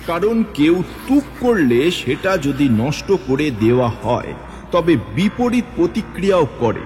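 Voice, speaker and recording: A man narrating a horror audio story in a slow, dramatic manner. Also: on the high side. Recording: long reverb with faint music.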